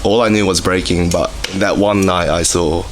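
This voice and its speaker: A man's voice: low-pitched, fairly even intonation, normal speed. An Australian man and dance teacher, a podcast guest who talks in a laid-back way with short answers and frequent laughter. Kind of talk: casual interview chat. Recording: background noise.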